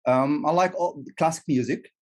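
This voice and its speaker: Male guest speaking second-language English, halting, repeats words. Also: a little high, a little mellow. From Egypt. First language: Arabic. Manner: repeats words, restarts often. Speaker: guest, teacher trainer. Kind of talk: casual interview.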